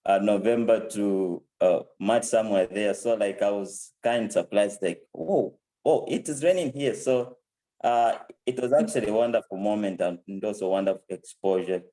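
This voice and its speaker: A man's voice: on the low side, fairly even intonation, normal speed, mellow, low-quality recording. A man in his twenties with a Zambian accent, giving an informal testimonial as a program alumnus. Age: 20s. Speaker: program alumnus and country director.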